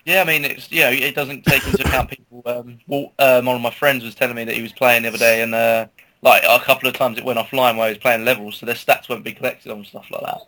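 A man's voice: mid-range voice, little pitch movement, quick pace, pushed and bright, rough recording. A man co-hosting a podcast, talking casually about games with opinionated emphasis. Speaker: podcast co-host. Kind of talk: casual gaming chat.

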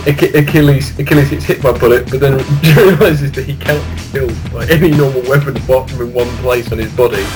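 Male podcast host, mid pitch, amused and hesitant mock-epic improviser, music bed behind. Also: steady tone, fast, few pauses. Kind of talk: improvised comic banter.

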